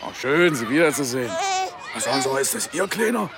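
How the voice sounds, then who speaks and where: A man's voice: medium pitch, sing-song, a little fast, a crowd in the background. A man playing a nervous, ingratiating campaign helper who stammers and giggles, in a satirical radio play.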